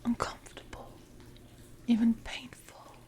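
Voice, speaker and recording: A woman playing a predatory, commanding siren in an ASMR roleplay, whispering breathily with slow, deliberate, menacing calm. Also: natural voice. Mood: coaxing, sinister. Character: predatory, commanding female siren possessing her victim.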